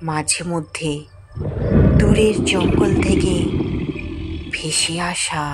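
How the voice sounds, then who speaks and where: Woman narrator, unhurried pace, slightly low pitch, suspenseful scripted horror storytelling. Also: heavy background noise.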